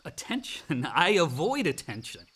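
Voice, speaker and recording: A man's voice: on the high side, sing-song, unhurried, fairly bright tone, husky, heavily acted. An actor playing a brooding, angry grown older son in a naturalistic radio drama, blunt and informal in his talk. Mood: wounded, subdued.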